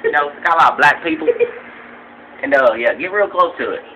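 A man playing a boastful, broke rural hunter who shows off his trophies in a rambling, deadpan way. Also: a little high, brisk, muffled recording, a little hiss or hum. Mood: bragging. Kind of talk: home comedy sketch.

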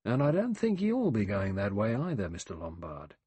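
A man's voice: lowish voice, wildly swooping pitch, normal speed, warm tone, slightly rough, no echo, exaggerated voice. A man voicing a blunt, suspicious former police inspector, dry and emphatic, in an audiobook.

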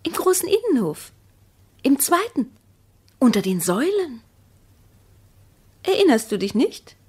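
An actress in a German radio play voicing a distrustful, needling wife, speaking in a measured, pointed way with hushed phrases. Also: female voice, high voice, sing-song. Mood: hushed wonder.

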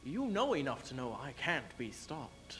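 A young man playing an aging, embattled English king in a theatrical stage reading, bitter and mocking, with deliberate, declamatory speech. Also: medium pitch, sing-song, a bit bright, faint music behind. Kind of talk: theatrical readers theater duo performance. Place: stage in front of curtain. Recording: noisy amateur stage video sound.

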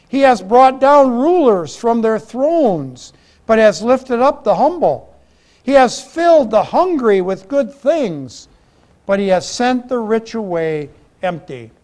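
A middle-aged American man preaching a sermon in church, deliberate and emphatic, in short phrases with pauses. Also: very high voice, darkish tone. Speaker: Lutheran pastor. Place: church, indoors at a pulpit.

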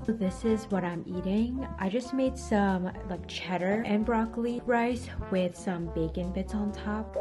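A woman's voice: medium pitch, unhurried, few pauses. Young American woman vlogging over music. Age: young adult. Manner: conversational, frequent "like" and "honestly". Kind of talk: casual chat to camera. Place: small indoor rooms at home, bedroom. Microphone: camera at arm's length or on a desk. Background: background music.

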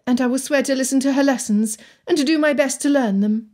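A woman's voice: high voice, fairly quick, darkish tone, voice pushed up, natural voice. A woman voicing a headstrong, impulsive young girl of genteel birth, earnest and insistent, in a storybook reading. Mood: pleading. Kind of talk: dramatised audiobook dialogue.

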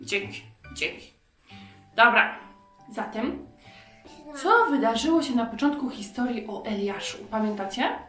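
Children's lesson teacher, female, expressive question-and-answer delivery, slowish pace, roomy echo. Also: a little high, faint music behind. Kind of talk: interactive lesson for young children.

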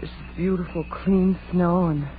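A woman's voice: on the low side, fairly even intonation, a little slow, lightly acted. An actress playing a sensible, bookish, mildly scolding young woman in a 1940s radio drama. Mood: chiding, earnest. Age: young adult. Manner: earnest, explanatory, chiding. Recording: tinny, noisy old radio recording.

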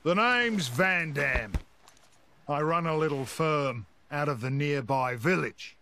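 An actor playing a gruff, brash middle-aged mercenary boss in a video game, swaggering and taunting in folksy slang. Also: male voice, a little high, expressive, slow pace, sharp tone, slight background noise, full character voice. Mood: hearty blunt. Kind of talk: video game cutscene voice acting. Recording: music behind the voice. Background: game soundtrack music.

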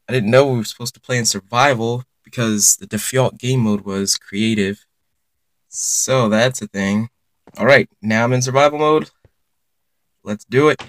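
A young man in casual commentary mode, talking through a puzzle game in an unhurried, easygoing way. His voice sits at an ordinary middle pitch and sounds dry and close, with no room around it. He chats to his viewers and reacts aloud as he plays. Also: no echo. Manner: chatty, reacts aloud and reads signs to viewers. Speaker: gaming YouTuber.

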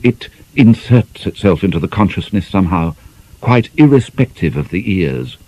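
Deep-voiced man narrating an audiobook. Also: animated, normal speed, warm tone, no echo. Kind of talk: scripted narration of a novel. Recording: slightly dull, band-limited audio.

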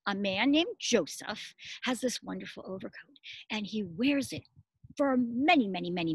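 A middle-aged woman reads a picture book to preschoolers in a high, slightly husky voice that swings up and down with expression. She repeats words for emphasis and checks in with simple questions. The sound is dry and open, recorded outdoors. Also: no room sound, rough recording. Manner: expressive, addressing small children with simple words and emphatic repetition. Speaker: preschool teacher reading aloud. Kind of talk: children's story read-aloud with explanations and questions. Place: outdoors in a garden.

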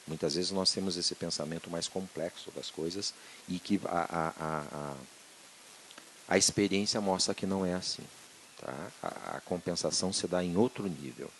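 A middle-aged man from Brazil, a physician giving an animated, conversational lecture on a handheld microphone in a conference room, often checking in with his audience. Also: deep voice, a little hiss or hum, low-quality recording. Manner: animated, conversational, full of tag questions.

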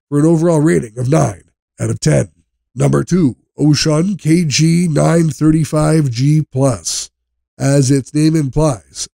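A man narrates a scripted product review in a measured, explanatory manner. His voice has a mellow tone with some gravel in it, and it is recorded close and dry. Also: medium pitch, dry recording.

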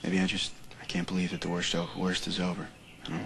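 Soap drama: guarded young drifter, very low and gravelly, TV audio with noise. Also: male voice, monotone, quick pace. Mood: guarded tender. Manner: guarded, quiet and earnest. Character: brooding young man with a troubled past. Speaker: actor in a daytime soap.

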